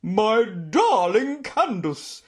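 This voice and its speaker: A formidable storybook lady, an effusive aunt. She booms theatrically in a high, swooping voice. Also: sing-song, extremely slow, full character voice. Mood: delighted, gushing. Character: imposing, gushing, formidable middle-aged aunt.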